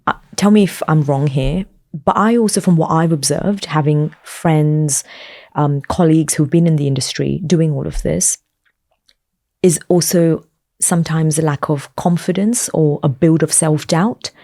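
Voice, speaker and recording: A woman's voice: low-pitched, unhurried, a little mellow, dry recording, clean studio sound. A woman hosting a conversational interview, summing up what she has heard and putting it back to her guest. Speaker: podcast host, interviewer.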